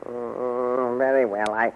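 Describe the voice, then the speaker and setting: An actor playing a slow, pompous court magician in a 1930s radio serial, mumbling and hemming as he goes. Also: medium pitch, flat delivery, phone-quality sound. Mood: hesitant, pompous. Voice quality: mumbling, halting. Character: slow, doddering, pompous male court magician, grumbling and imperious. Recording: noisy, very thin 1930s radio recording.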